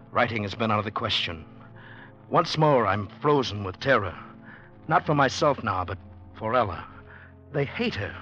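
A man's voice: fairly low pitch, fairly bright tone. A man acting a nervous, earnest, lovestruck poet in a 1940s radio drama, speaking hesitantly. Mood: anxious. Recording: muffled old radio recording with music.

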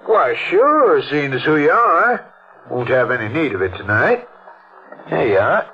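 An actor playing an obliging small-town hotel clerk in a 1940s radio drama, hesitant and folksy. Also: male voice, fairly high pitch, big pitch swings, measured pace. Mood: obliging, chatty. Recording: dull old radio recording, faint noise.

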